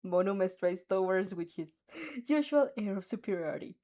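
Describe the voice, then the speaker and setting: A woman's voice: mid-range voice, animated, normal speed, warm tone. A woman reading fan fiction aloud as an amateur narrator, giggly, stumbling over words and adding her own asides.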